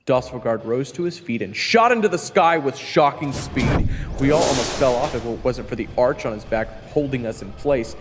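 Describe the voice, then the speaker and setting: A man's voice: mid-range voice, lively intonation, moderate pace, long reverb tail, background noise, lightly acted. A man playing a cheeky, anxious young hero who narrates a fantasy audio drama with casual, wry asides. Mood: excited.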